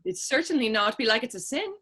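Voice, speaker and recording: A woman plays a quick-witted Irish lass in a stage play. Her voice is high and sharp, with lively swoops. She is saucy and challenging, trading folksy retorts. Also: husky, full character voice. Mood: mock offended. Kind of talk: stage readers theater dialogue.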